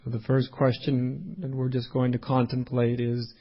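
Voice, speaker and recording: A man leading a guided meditation as a teacher, speaking unhurriedly in short phrases with long pauses. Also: mid-range voice, flat delivery, muffled recording.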